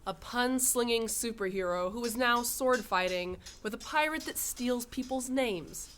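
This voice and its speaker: A blunt, skeptical female crew member, played by a woman, with a fairly high and piercing voice. She speaks in radio drama style. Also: a little slow, fairly bright tone, slight background noise.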